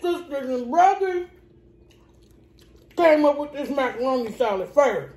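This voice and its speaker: A woman's voice: high-pitched, expressive, unhurried, darkish tone, faint music behind. A young woman with a Southern US accent, chatting sassily and teasingly while eating at a kitchen table. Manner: sassy, drawling, teasing. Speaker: mukbang co-host. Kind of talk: casual banter while eating. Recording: echoey kitchen.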